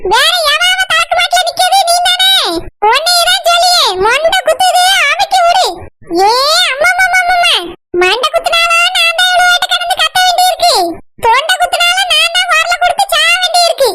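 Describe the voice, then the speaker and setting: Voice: extremely high pitch, fairly quick, very noisy. A performer voicing an irritable older woman with a headache, bossy and scolding.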